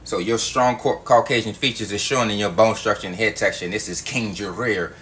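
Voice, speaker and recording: A man's voice: lowish voice, fairly even intonation, a little fast, fairly bright tone, a little hiss or hum. A man delivering a heated monologue to his viewers, emphatic and profane, repeating his points. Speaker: video host.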